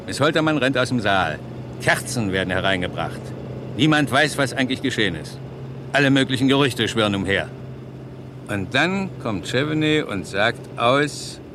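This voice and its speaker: Actor as gruff, grumbling police inspector, noisy recording. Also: male voice, lowish voice, close to an everyday voice. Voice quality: grumbling, sometimes indistinct. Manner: sly, self-assured, deductive. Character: gruff English police detective inspector, shrewd, hearty eater. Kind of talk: 1960s German radio crime play. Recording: noisy old 1960s radio play recording.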